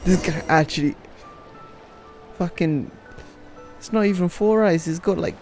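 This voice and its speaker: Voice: mid-range voice, a little slow, darkish tone. A gaming streamer giving casual, sweary, self-mocking commentary, sometimes muttering. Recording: game music behind the voice.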